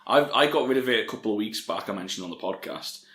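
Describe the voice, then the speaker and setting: A man with a British accent and a fairly low voice co-hosts a casual podcast. He is easygoing and self-deprecating, and the room around him is noticeably echoey. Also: expressive, fast talker, a bit bright. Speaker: podcast co-host. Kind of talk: casual chat between friends. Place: room at home, no studio.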